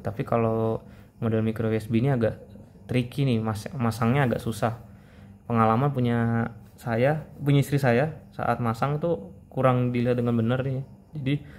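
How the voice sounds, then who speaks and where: A man talks through a product review in a casual, explanatory way. His mid-pitched voice stays quite flat and even. There is some light noise behind him. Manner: informal, explanatory, addresses viewers as friends.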